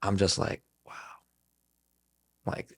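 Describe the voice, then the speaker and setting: A man's voice: slow, fairly bright tone. A man in his twenties talking casually and reflectively as a podcast guest, earnest and rambling, with plenty of filler words. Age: 20s.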